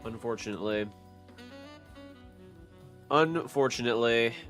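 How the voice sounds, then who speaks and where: A man's voice: medium pitch, a little slow, fairly bright tone. A young man streaming a game with a stuffy nose, chatting casually, repeating phrases and swearing. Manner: repeats phrases, profane, laid-back. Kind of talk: casual live-stream chat. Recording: faint music behind.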